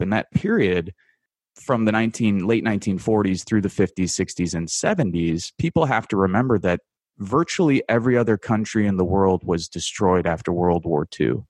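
A man in his thirties from the United States, a guest writer giving measured, analytical commentary in a radio interview, with frequent fillers and restarts. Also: sits low, darkish tone, no room sound. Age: 30s.

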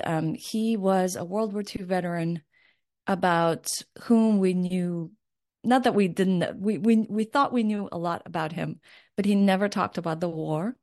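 Woman author, russian first language, kinda low gravelly voice, lots of ums. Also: darkish tone. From born in Crimea, raised in Ukraine and Russia. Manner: thoughtful, many ums and restarts. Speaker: author guest. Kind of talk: interview conversation.

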